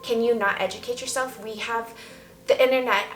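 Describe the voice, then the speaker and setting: A woman's voice: a little high, steady tone, a little fast, a little hiss or hum. A woman talking casually and candidly as a vlogger, giving emphatic, opinionated views. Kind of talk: casual opinion talk to camera. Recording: roomy echo, faint background music. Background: soft background music.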